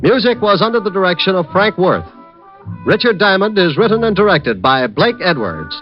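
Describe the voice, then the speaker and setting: A man's voice: sits high, very animated, normal speed, faint music behind. A male radio announcer reading the closing credits and program notes in a formal manner. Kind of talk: scripted show announcement. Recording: dull lo-fi 1950s radio broadcast.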